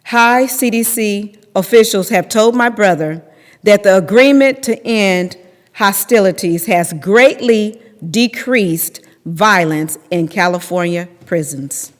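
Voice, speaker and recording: Unhurried woman speaking in a reverberant hall. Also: medium pitch. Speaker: speaker giving personal testimony. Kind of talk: prepared speech. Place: temple sanctuary at a lectern. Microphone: boom mic on a stand at lectern. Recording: echoey hall with long reverb.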